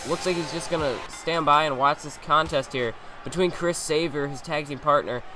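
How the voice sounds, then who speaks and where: A young man doing excitable, rambling play-by-play commentary over a wrestling video game. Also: fairly high pitch, moderate pace, slight background noise.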